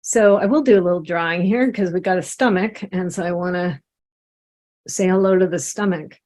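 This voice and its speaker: A woman facilitating a guided self-inquiry session over a video call, speaking in a gentle, encouraging way. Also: lowish voice, moderate pace. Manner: gentle, encouraging, drawing while talking. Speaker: satsang facilitator and coach.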